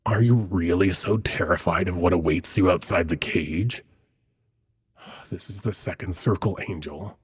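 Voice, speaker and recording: A suave demon prince, acted by a man, speaks in a very low register with a heavily husky, breathy voice. He is haughty and courtly, choosing his words with measured condescension. The delivery is that of a seductive fantasy audio roleplay. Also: full character voice. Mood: softly coaxing. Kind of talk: dramatic ASMR roleplay monologue.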